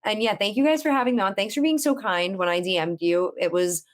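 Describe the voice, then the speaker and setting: A woman's voice: mid-range voice, fairly quick. A woman with a New York accent, chatting emphatically as a guest on a podcast over a video call. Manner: chatty, emphatic, animated storyteller. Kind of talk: casual podcast chat.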